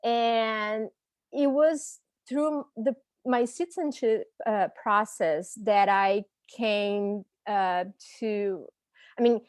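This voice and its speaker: A woman with a Brazilian Portuguese accent speaks slowly and thoughtfully on a video call, pausing to search for her words. Her voice sits at a middle pitch and the sound is close and dry, with no room around it. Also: fairly even intonation, a little mellow, no echo. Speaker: panelist and language teacher. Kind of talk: personal reflection on a panel.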